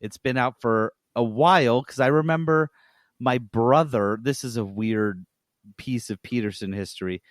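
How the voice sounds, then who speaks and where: A man with an average-pitched voice talks casually as a podcast co-host. He is conversational and repeats points for emphasis. The recording is close and dry. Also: moderate pace, no echo. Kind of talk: casual review-style podcast chat.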